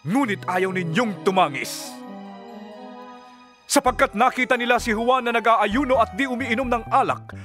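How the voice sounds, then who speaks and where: Fervent preacher, high forceful voice, solemn, authoritative, declamatory, scripture audio drama. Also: male voice. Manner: declamatory, deliberate.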